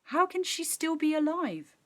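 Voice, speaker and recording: A woman's voice: high voice, big pitch swings, unhurried, fairly bright tone, voice pushed up, full character voice. A woman playing a curious, bold young girl in a children's story, earnest and questioning. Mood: disbelieving.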